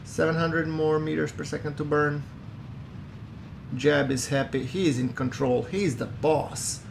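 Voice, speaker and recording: A man giving relaxed, chatty commentary over a space-game playthrough, with a soothing voice and self-deprecating asides. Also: fairly high pitch, a little slow, creaky, background noise. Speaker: gameplay commentator. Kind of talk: casual let's-play commentary.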